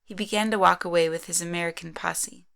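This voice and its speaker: A woman's voice: lowish voice, steady tone, fairly quick, gravelly, fairly bright tone, a little hiss or hum. A woman narrating a scripted story in the third person.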